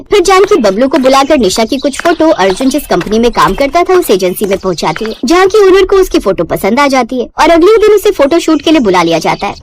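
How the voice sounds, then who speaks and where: High-pitched woman storyteller. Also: a little hiss or hum. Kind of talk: scripted story narration.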